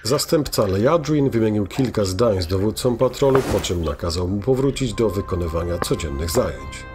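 Male narrator, low voice, measured, dramatic, scripted fantasy tale, prominent background music. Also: expressive.

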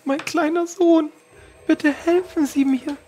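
A man's voice: very high voice, fairly even intonation, slow pace, darkish tone, faint music behind, pitched above the performer's own voice. A man voicing a timid, anxious young maid who is a worried mother. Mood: pleading, afraid. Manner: timid, hesitant.

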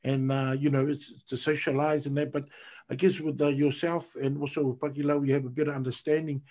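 A man's voice: a little high, level tone, brisk, dark tone. A man hosting a live online talk show over a video call, asking questions hesitantly and searching for his words. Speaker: host.

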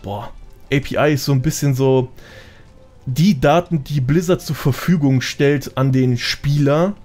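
A man's voice: mid-range voice, unhurried, darkish tone. A man with a colloquial, slangy way of explaining things, chatting casually as a guest on a gaming podcast. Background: background music.

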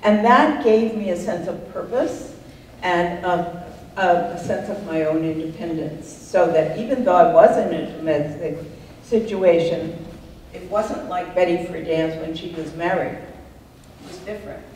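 An older woman speaks slowly and reflectively, with frequent laughter. Her voice comes from a distance in an echoey talk room with a long reverb tail. Faint room noise sits underneath. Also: lowish voice, darkish tone. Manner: unhurried, reflective, laughs often. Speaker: panel guest, writer. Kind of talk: panel discussion answering audience. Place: art center talk room, audience present.

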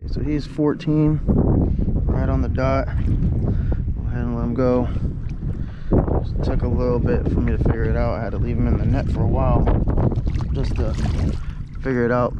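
A man's voice: medium pitch, fairly even intonation, normal speed, long pauses, muffled recording. A man on a kayak chats to his viewers as he rigs lures and catches bait, often stopping to correct himself mid-sentence. His voice sits in a middle register. Heavy wind and slapping water roar over everything. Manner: rambling, self-correcting, talks to viewers while working. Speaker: kayak fishing vlogger. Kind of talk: casual narration while fishing. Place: kayak on open water, outdoors. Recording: loud wind noise on open water. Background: loud wind and water noise.

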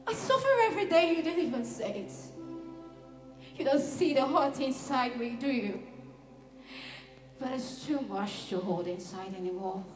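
An actress playing an anguished, emotionally starved woman in a theatrical monologue to her lover, halting and swinging between hushed and loud. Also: sits high, lively intonation. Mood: frustrated, pleading. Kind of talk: emotional dramatic audition monologue. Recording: roomy echo with faint music behind.

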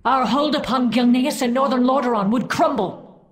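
A woman's voice: sits high, normal speed, natural voice. An actress playing a proud, cold undead banshee queen in a video game, speaking in a haughty, defiant manner. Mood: cool, defiant. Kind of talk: dramatic video game acting. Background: music.

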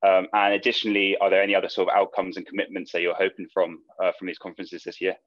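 A man's voice: sits low, monotone, fast talker, no echo. A young man putting a semi-formal question as a seminar organizer over a video call from home. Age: young adult. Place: indoors in a home with bookshelves. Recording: muffled, dry video call.

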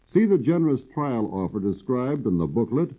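A man's voice: mid-range voice, sing-song, normal speed, warm tone. A man narrating a scripted language-course promotion in a persuasive, salesman-like way. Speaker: course announcer. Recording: husky, phone-like old cassette audio.

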